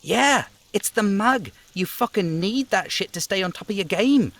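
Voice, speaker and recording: A man's voice: high voice, lively intonation, normal speed, a bit bright, no room sound, voice pushed up. A man voicing a cocky, hostile, swearing street kid in a video game, a brash braggart who talks of himself in third person. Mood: scornful, bragging. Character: foul-mouthed cocky street kid boy, swaggering and hostile.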